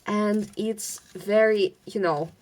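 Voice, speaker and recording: A young woman from Ukraine with a Ukrainian accent, chatting casually and rambling at home while sorting craft supplies, halting with many fillers and restarts and a stutter at times. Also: mid-range voice, steady tone, a little mellow. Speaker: vlogger.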